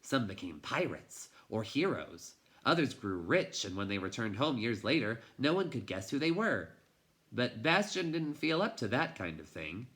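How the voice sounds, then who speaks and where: Bright-voiced man reading a storybook dramatically. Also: mid-range voice, big pitch swings, moderate pace. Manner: dramatic, shifting from hushed to intense. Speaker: teacher reading a storybook aloud. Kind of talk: expressive children's book read-aloud.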